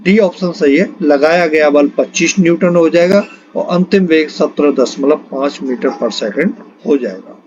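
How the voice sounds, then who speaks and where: A man with a fairly high-pitched voice works through quiz questions as an exam coach. He reads each question and its options, then states the correct answer. The recording is somewhat dull and lo-fi. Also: a little slow, a little mellow. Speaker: exam-prep physics teacher. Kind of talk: lesson reading out multiple-choice questions and answers.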